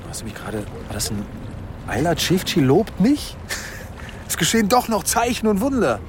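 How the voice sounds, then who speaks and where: A man's voice: high-pitched, wildly swooping pitch, normal speed, background noise. Placating, headstrong policeman. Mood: amused surprise. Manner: conversational, placating, defensive. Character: male police colleague and ex-lover, placating, headstrong. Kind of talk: naturalistic radio drama acting.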